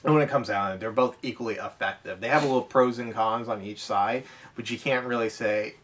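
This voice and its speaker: A man's voice: lowish voice, steady tone, brisk, fairly bright tone. A man in casual, unscripted conversation, explaining things and thinking aloud in loose, run-on sentences. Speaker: co-host of an airsoft podcast. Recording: voice distant from the microphone.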